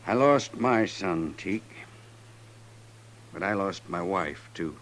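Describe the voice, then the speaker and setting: An actor playing a hard, greedy land owner with a gruff soft side, speaking bluntly and deliberately in a radio western. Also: male voice, sits low, lively intonation, full character voice. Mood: gruff, sorrowful. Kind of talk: radio western drama acting. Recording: faint hum, old radio broadcast.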